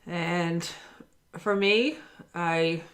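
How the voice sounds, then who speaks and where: A woman's voice: on the low side, drawn-out words, fairly bright tone. Woman, spiritual teacher, earnest and encouraging, talking straight to camera. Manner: earnest and encouraging, speaks to the listener directly. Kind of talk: daily spiritual lesson talk.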